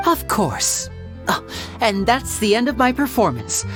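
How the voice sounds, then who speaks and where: A man voicing a smooth, playful young stage magician in a video game, theatrical and teasing, over game music. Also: high voice, wildly swooping pitch, unhurried, exaggerated voice. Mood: coaxing.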